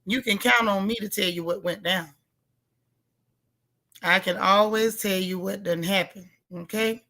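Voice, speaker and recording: A woman hosting a casual live recap chat, with a loud, gravelly, hoarse voice, greeting viewers by name with folksy slang. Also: mid-range voice, fairly even intonation, a little slow. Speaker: livestream host.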